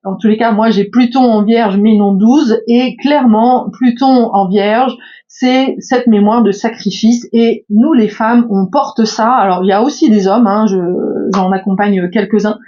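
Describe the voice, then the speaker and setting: Woman in her 50s, higher pitch, warm and hesitant, lo-fi bedroom recording. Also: steady tone, measured pace. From France. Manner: hesitant, many fillers and restarts. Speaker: spiritual coach. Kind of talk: casual reflective monologue.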